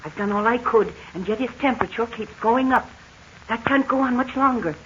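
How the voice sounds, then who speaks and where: A woman's voice: a little high, a little fast, close to an everyday voice. An actress playing a worried motherly woman nursing a sick man, in 1940s radio acting style. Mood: anxious. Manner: anxious, pleading. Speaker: nurse figure tending a sick man. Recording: muffled old radio recording with hiss.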